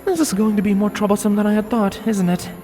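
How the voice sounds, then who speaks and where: A woman voicing a wistful, blunt female pony in a dramatised fan fiction reading, speaking softly and deliberately over music. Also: mid-range voice, fairly even intonation, lightly acted. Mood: exasperated.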